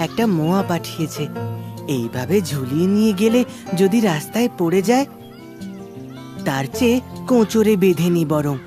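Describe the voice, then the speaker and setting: A woman voicing a poor, gentle young boy who thinks aloud and soothes softly, with music playing behind. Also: fairly low pitch, animated, moderate pace, long pauses, lightly acted. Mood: musing. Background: background music.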